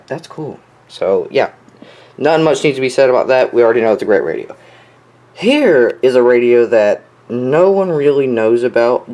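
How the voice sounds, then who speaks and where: A man's voice: medium pitch, a little mellow. Young man casually showing off gadgets. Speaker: collector showing weather radios. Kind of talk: casual product showcase vlog.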